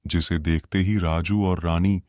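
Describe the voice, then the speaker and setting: A male storyteller reading a cartoon moral tale. His voice is low-pitched and the pace is slow, the delivery steady and plain, recorded close and dry. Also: no echo. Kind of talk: scripted storybook narration.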